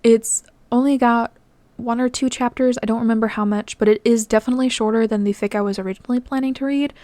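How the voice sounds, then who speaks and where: A woman's voice: on the high side, steady tone, fast, mellow, no echo. A woman who reads fan fiction as narration and chats casually to listeners as the host. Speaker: podfic reader and channel host.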